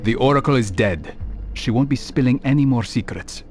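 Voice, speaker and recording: An actor playing a determined assassin in a video game, speaking in a measured, serious, probing manner. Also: male voice, medium pitch, animated, darkish tone, soft background music, lightly acted. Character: calm, determined male assassin. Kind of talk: dramatic video game acting.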